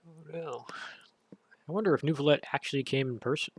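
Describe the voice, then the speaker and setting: Streamer making casual live game reactions, quick husky delivery, dry sound. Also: mid-range voice, no echo.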